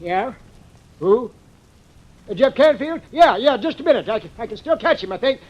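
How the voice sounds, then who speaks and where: An actor playing a folksy, hesitant old boathouse proprietor in a 1940s radio drama. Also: male voice, very high voice, lively intonation, moderate pace. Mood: folksy, chatty. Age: older. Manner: folksy, hesitant, chuckling drawl. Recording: muffled 1940s radio recording.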